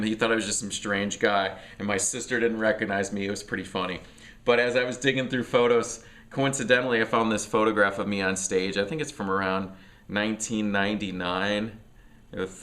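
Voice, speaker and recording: A man's voice: on the low side, fairly bright tone. Sniffly middle-aged man chatting casually. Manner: rambling, with many fillers and restarts. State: sniffly from allergies. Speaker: music vlogger. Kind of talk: casual record collection chat.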